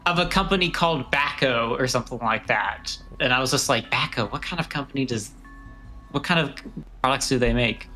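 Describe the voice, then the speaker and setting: Bright-voiced man, dryly bantering. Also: medium pitch, a little fast, faint music behind. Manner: dry, wry, rambling banter. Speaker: livestream guest. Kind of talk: casual bantering chat.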